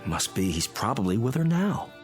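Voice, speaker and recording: An actor playing an analytical scientist friend in a radio drama, explaining strange phenomena in a deliberate, instructing manner. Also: male voice, fairly low pitch, sing-song, faint music behind. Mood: explaining, intent. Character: calm analytical male physicist friend explaining a mystery. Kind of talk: modern radio drama acting.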